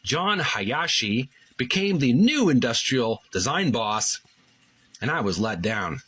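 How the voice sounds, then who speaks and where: A man's voice: mid-range voice, big pitch swings, takes it slowly, fairly bright tone, a little hiss or hum. A man hosting his own channel, recovering from a cold and casually reading a book aloud, rambling with many fillers. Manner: rambling, filler-heavy, self-deprecating. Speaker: host.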